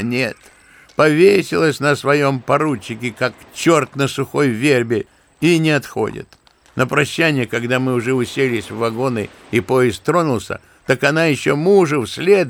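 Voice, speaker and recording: An actor playing an old retired general in an audio drama, telling stories in a lively, scornful way. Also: male voice, mid-range voice, vocal fry. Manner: lively raconteur, scornful asides.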